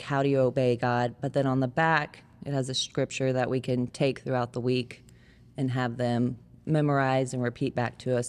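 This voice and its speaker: Woman, thirties, American accent, notably low pitch, chatty explanatory delivery, frequent "like", echoing hall recording. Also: darkish tone. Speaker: parent sharing family testimony. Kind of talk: casual conversational testimony. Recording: long reverberant hall echo.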